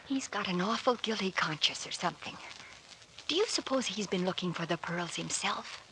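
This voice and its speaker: A woman's voice: mid-range voice, lively intonation, normal speed, fairly bright tone, close to an everyday voice, poor audio. An actress playing an anxious, trusting young woman with an earnest, breathless manner in a 1940s radio drama. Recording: hissy old-time radio recording.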